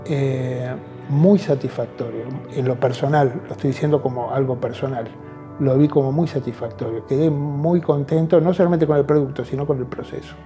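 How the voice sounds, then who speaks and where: A man with an Argentine accent and a very dark, soft-edged voice talks reflectively in an interview. He often checks in with short tag questions. Music plays behind him. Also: mid-range voice.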